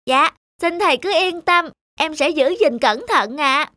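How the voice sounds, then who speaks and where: A woman's voice: high-pitched, a little slow, bright, forceful tone, no room sound. A woman voicing a polite, earnest, bookish little boy in a children's cartoon dub, speaking respectfully. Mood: polite, eager.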